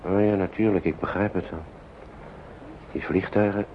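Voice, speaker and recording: An actor playing a kindly, worried uncle in a wartime radio play, talking to children in a soothing, coaxing, hesitant way. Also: male voice, low-pitched, fairly even intonation, normal speed, natural voice. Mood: concerned, coaxing. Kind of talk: Dutch radio play acting. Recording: muffled, noisy old radio recording.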